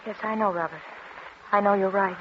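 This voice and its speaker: A woman playing a fretful, gentle, refined wife in a 1940s radio drama. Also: medium pitch, steady tone, a little fast, close to an everyday voice. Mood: fretful, resigned. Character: nervous, gentle wife, a former stage musician. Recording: dull, hissy 1940s radio recording.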